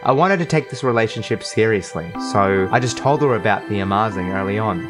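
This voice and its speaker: A young man telling scripted personal stories as a YouTube storyteller, expressive and self-deprecating. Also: fairly low pitch, fairly quick. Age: young adult. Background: background music.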